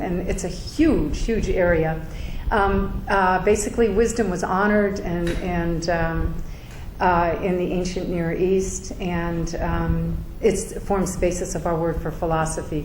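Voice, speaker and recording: A woman giving an informal spiritual talk to a fellowship group, with a loud voice and a halting delivery full of fillers. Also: lowish voice. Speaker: guest speaker. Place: fellowship meeting room. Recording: echoey room with background noise.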